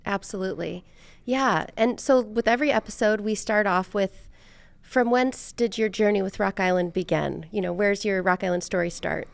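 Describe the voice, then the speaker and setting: A woman's voice: medium pitch, moderate pace, vocal fry, no echo. A woman with an American accent hosting a casual conversational interview, affirming her guest as she chats. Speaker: podcast host.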